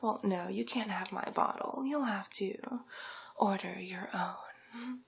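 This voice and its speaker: A woman playing a haughty, vain, glamorous villainess in an ASMR roleplay, whispering breathily and condescendingly with drawn-out endearments. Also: medium pitch, gravelly, breathy edge, exaggerated voice. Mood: possessive, condescending.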